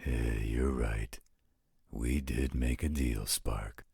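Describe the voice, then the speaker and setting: A blunt, protective werewolf in a roleplay audio drama. His gruff voice drags slowly, thick with gravelly fry and heavy rasp. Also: extremely low pitch, fairly even intonation, a little mellow, no echo, clean studio sound, exaggerated voice. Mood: grudging, protective. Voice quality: gravelly, raspy.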